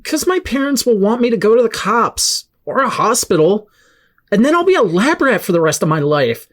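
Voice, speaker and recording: A woman playing a rattled, excitable teenage boy with superpowers in an audio drama, speaking emotionally in casual slang. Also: fairly high pitch, moderate pace. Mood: weary, confiding.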